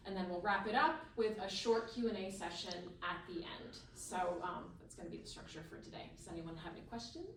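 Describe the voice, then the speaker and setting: A woman's voice: medium pitch, fairly quick, few pauses, fairly bright tone. A woman speaking informally as the host of a live event, introducing it to an audience. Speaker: board member and event host. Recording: roomy, distant mic with faint noise.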